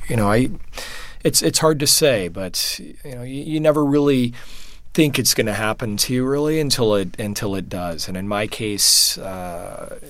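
A man being interviewed as a photojournalist, answering thoughtfully and haltingly, with many restarts and fillers. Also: mid-range voice, lively intonation, fairly bright tone. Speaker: photojournalist guest.

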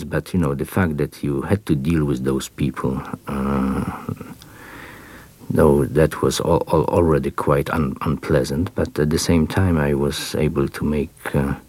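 A man with a Polish accent, answering interview questions in a halting way with many fillers and restarts. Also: a bass voice, a little mellow, no echo. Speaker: interview guest.

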